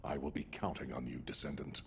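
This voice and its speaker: An actor playing a serious, steady military commander in a sci-fi game, speaking in a measured, authoritative way. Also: male voice, a bass voice, vocal fry, a little hiss or hum. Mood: grave, resolute. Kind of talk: scripted game voice acting, briefing.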